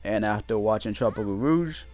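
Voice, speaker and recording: A man giving casual, unscripted game commentary in a halting way, often repeating and correcting himself as he goes. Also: lowish voice, darkish tone. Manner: halting, repetitive, self-correcting. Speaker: let's play host. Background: game music.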